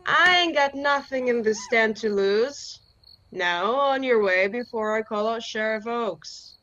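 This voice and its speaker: A woman's voice: a little high, unhurried, fairly bright tone. A woman playing a curt, suspicious roadside stand keeper in a radio play, with a folksy, scolding manner. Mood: irritated, scolding. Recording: slightly muffled online recording.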